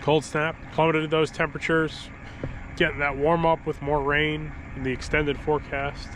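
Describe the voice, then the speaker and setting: A man talks through his day in a loose, rambling vlog style, chuckling now and then, his nose stuffed up from a cold. He is outdoors by the water in winter. Wind and water noise are clearly heard behind him. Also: fairly high pitch, normal speed. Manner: rambling, relaxed, chuckles between thoughts. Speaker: fishing vlogger. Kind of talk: casual outdoor vlog commentary.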